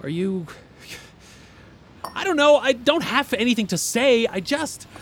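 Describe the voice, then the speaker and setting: A bashful, sentimental man played by an actor in a comedic audio drama. He is halting and earnest, easily flustered, with a lively pitch that jumps about over background noise. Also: heavily acted. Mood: wistful, awkward.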